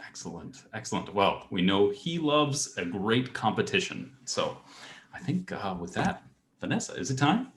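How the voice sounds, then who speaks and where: A deadpan, understated co-host whose pitch still moves around a lot. The reactions are dry and low-key. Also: moderate pace, slightly rough. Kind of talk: hosting a livestream show.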